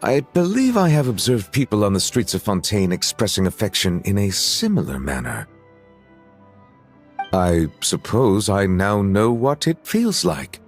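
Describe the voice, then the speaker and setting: A man's voice: fairly low pitch, big pitch swings, a little slow, faint music behind. A man voicing a dignified, courteous dragon judge in a video game, speaking formally and with awkward hesitation. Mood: awkward, hesitant.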